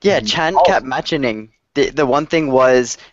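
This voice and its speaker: A man's voice: mid-range voice, expressive, measured pace, no echo. A man with a mid-range voice chats casually on a tech podcast panel. His recording is very dry and a little dull. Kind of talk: casual chat.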